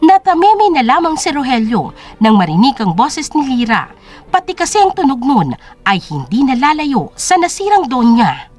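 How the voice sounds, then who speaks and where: A woman's voice: sits high, sing-song, normal speed, darkish tone. A woman reading scripted dramatic narration as the storyteller of an audio drama.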